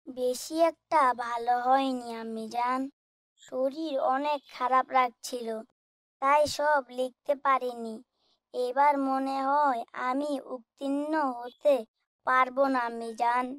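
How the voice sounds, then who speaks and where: A high, young schoolboy voice performed by a woman, polite and gentle, recorded close and dry. He speaks earnestly and respectfully, like a devout child in a moral cartoon. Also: fairly even intonation, takes it slowly, no room sound. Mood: downcast, respectful. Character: pious, polite, gentle schoolboy son, Raihan. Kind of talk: scripted Islamic cartoon dialogue.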